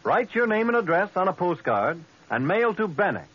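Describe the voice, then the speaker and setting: High, husky American male ad announcer. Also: very animated, normal speed. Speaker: radio ad announcer. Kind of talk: 1940s scripted radio advertisement. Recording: dull vintage radio recording.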